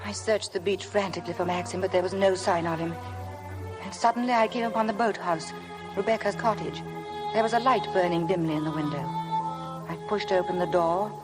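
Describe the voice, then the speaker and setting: An actress playing a meek, anxious young wife in a 1950s radio drama, breathless and earnest. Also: female voice, lowish voice, steady tone, a little fast, over music, lightly acted. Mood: tense. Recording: vintage 1950 radio broadcast.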